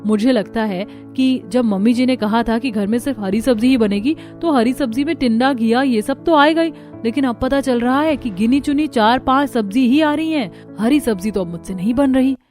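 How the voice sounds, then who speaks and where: A woman acts out a dutiful young daughter-in-law from a family cartoon. Her voice is fairly high and polite, with music playing behind it. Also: normal speed, few pauses, darkish tone, close to an everyday voice. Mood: concerned, fed up. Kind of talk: Hindi family cartoon voice acting.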